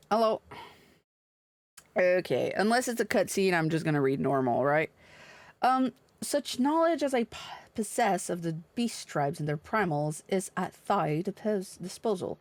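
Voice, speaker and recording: Woman, mid-pitched voice, game streamer, blunt and irreverent, casual delivery, dry close recording. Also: dry recording. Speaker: video game livestreamer. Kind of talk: casual livestream chat mixed with reading game dialogue aloud.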